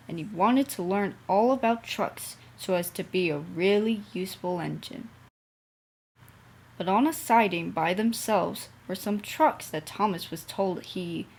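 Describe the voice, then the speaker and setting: A woman's voice: mid-range voice, darkish tone, slightly rough. A young woman reading a children's storybook aloud as its narrator. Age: young adult.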